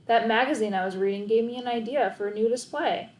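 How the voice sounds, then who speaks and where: A woman voicing a gruff but softening male store boss in a children's story read-aloud, clipped and grudging. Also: a little high, fast, reverberant, lightly acted, rough recording.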